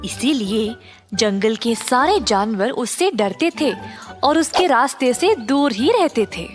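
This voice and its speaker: A woman storyteller reads a scripted children's tale. Her voice is high and her pace is slow, with soft music underneath. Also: animated.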